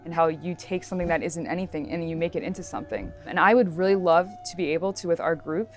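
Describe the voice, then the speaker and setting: Earnest woman, lowish voice. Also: quick pace. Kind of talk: interview. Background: faint music.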